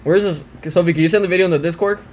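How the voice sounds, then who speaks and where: A man's voice: high-pitched, racing, a little mellow. A young man streaming from a small room, chatting casually with his viewers while reacting to a video. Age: young adult. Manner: chatty, talks to viewers, trails off and restarts. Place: small cluttered room with green screen.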